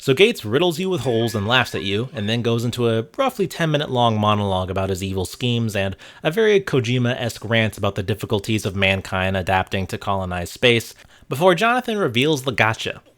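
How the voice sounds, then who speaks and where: A man's voice: lowish voice, very animated, brisk, a bit bright. A man narrating a casual video game essay with wry, sarcastic commentary and casual swearing. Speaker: host of a video game review channel.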